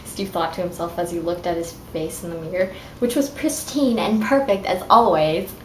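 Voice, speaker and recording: A woman's voice: on the low side, moderate pace, a little mellow. Snarky woman in an echoey, noisy room. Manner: snarky, informal, swearing, stumbling over words. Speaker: amateur reader and commentator. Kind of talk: mock-dramatic fan fiction reading with casual snarky asides. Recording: echoey room with clear background noise.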